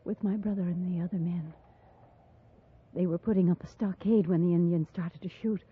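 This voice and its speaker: An actress playing a stoic, grieving frontier mother in her thirties in a 1950s radio drama, solemn and halting, with a breathy edge. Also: on the low side, fairly even intonation. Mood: grief-stricken. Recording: muffled vintage 1950s radio recording.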